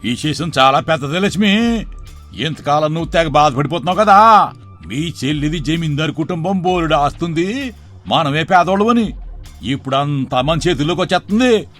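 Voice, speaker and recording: A man acts out a crafty, greedy middle-aged villager from a moral story. His voice sits a little high and he talks in a colloquial rural Telugu dialect, smooth and reassuring on the surface. Soft music runs underneath. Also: animated, normal speed. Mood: gleeful scheming.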